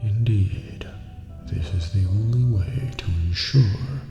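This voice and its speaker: An eerie old keeper of a dream prison, very low and gravelly. He speaks in a slow, formal, cryptic near-whisper, as in a game roleplay, inside a reverberant hall with music behind. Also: muffled recording. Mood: grave, patient. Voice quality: deep, breathy, creaky near-whisper. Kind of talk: scripted ASMR roleplay based on a video game.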